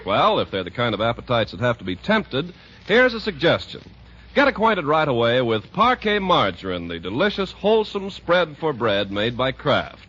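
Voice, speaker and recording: A man's voice: huge pitch swings, normal speed, a bit bright, breathy edge. A man working as a 1940s radio announcer, reading scripted ads and narration with polished salesmanship. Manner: polished sales-pitch delivery. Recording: muffled 1940s radio recording.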